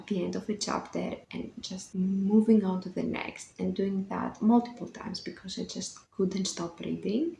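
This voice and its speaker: A woman's voice: mid-range voice, gravelly, mellow, roomy sound, slight background noise, low-quality recording. A Greek woman in her twenties with a Greek accent, speaking English as a second language, chatting casually to camera about books, a bit self-conscious and laughing often. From Greece. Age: 20s. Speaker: book vlogger.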